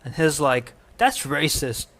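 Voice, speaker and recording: A man's voice: a little high, very animated, slow, a bit bright. Indignant man ranting bluntly. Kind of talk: social media rant.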